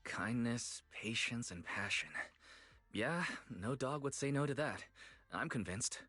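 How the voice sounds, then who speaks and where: A man's voice: mid-range voice, animated, measured pace, sharp tone, dry recording, soft background music. A man voicing an easygoing, patient young man who listens like a supportive friend in a video game. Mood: gently puzzled.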